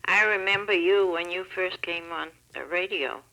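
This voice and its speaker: Lady caller on the radio over the phone, creaky kinda sharp voice, casual. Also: on the low side, normal speed. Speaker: radio call-in listener. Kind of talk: casual phone call to a talk show. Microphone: telephone. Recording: telephone call heard over radio.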